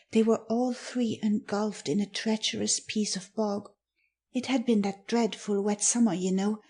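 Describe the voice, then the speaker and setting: A woman playing a self-possessed young girl who tells an eerie tale in a soft, confiding way for an audiobook. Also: medium pitch, slightly rough. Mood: grave, hushed. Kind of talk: dramatic audiobook reading.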